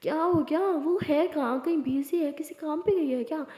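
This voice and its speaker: Woman acting a high-voiced bossy college friend. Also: normal speed, dark tone, natural voice. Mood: soothing, firm. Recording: echoey room with long reverb.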